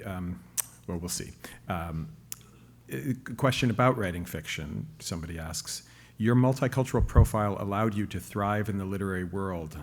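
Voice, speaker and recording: A man moderating a lecture Q&A, reading audience questions aloud in a plain, slightly hesitant way. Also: lowish voice. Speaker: event moderator.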